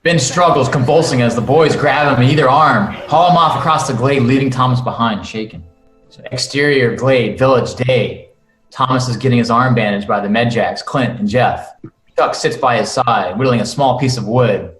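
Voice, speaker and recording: A man's voice: mid-range voice, moderate pace, a bit bright, faint music behind. A man narrating a script at a table read, delivering stage directions in a dramatic style. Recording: echoey room.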